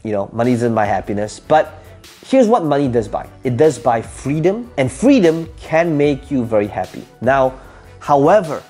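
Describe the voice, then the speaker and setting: Husky-voiced man giving punchy motivational advice. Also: medium pitch, big pitch swings, normal speed, darkish tone, soft background music. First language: Cantonese. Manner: emphatic and punchy, rhetorical questions, repeats key phrases. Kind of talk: motivational talk to camera.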